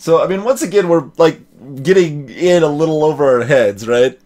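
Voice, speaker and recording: A man's voice: fairly high pitch, normal speed, darkish tone. Man musing aloud over a video game. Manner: musing aloud, repeating phrases. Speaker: let's play host. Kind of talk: casual game commentary, reading dialogue aloud.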